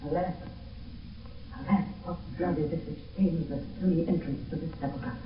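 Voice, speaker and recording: A man's voice: on the high side, fairly even intonation, moderate pace, recorded from a distance, natural voice. Man acting alarmed elderly friar, agitated Shakespearean delivery, exclamatory, echoing hissy old radio play. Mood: horror. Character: anxious old holy friar, alarmed, horrified. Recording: muffled, echoey, hissy old radio broadcast.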